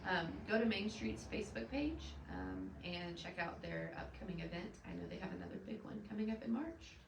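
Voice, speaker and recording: A woman with an Oklahoma accent co-hosting a casual live local news chat; she has a softer voice, sounds upbeat and enthusiastic, and has a scratchy throat from a cold. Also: mid-range voice, very animated, a little fast, creaky. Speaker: city representative co-host. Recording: roomy sound, mic at a distance, faint background hum.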